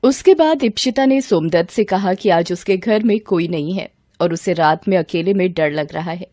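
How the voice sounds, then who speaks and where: Woman narrating a story, mellow and close. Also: fairly low pitch, moderate pace, no echo. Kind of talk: scripted story narration with acted dialogue.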